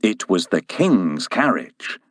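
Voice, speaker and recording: A man narrating a children's story from a script in an expressive, deliberate way. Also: sits low, no echo, studio quality.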